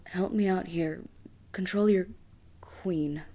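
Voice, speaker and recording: A woman playing a captured assassin in an audio roleplay, halting and defiant with a desperate edge. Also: on the low side, dark tone. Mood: desperate pleading. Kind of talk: scripted audio roleplay acting.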